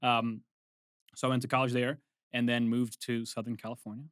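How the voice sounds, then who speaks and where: A young adult man from Brazil, a guest film composer, telling his story in a relaxed, rambling interview style with frequent restarts. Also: medium pitch, brisk, smooth, no room sound, studio quality. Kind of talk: casual interview chat.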